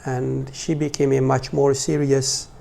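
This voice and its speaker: A man in his forties with a Hungarian accent, talking informally to a small religious class in a hesitant, halting way with pauses. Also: mid-range voice, completely flat delivery, creaky, mellow. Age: 40s. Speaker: religious teacher.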